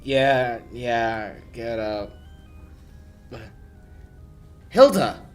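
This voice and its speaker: A young man plays a hard-boiled radio-play lawyer with a medium-pitched voice. He is wry, suspicious and challenging, with faint music underneath. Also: animated, extremely slow, a little mellow. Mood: dazed, urgent. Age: young adult. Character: tough wisecracking male lawyer, suspicious and dry. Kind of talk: 1940s radio play acting.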